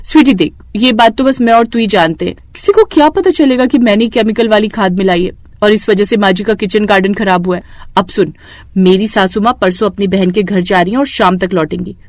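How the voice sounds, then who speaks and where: A woman's voice: medium pitch, brisk, dry recording, close to an everyday voice. A woman voicing a scheming young daughter-in-law in a moral story, with a dramatic, complaining manner. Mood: sly. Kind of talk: Hindi moral story voice acting.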